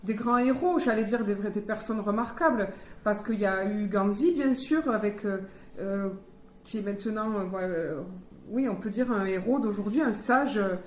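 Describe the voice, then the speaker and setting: A woman's voice: medium pitch, moderate pace, a little mellow, roomy sound, a little hiss or hum. A woman hosting a radio interview, asking questions and affirming often. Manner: affirming, frequent "oui" and "ah oui". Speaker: interviewer, host.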